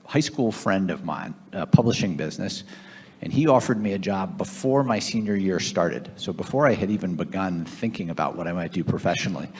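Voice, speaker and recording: A man in his fifties from Boston with an American accent, telling his own story as an interview guest in a measured, reflective way. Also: sits low, a little mellow, slightly rough. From Boston area, United States. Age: 50s. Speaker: guest, investor. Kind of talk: conversational personal storytelling on stage. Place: large event room at a gathering. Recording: echoey hall with long reverb.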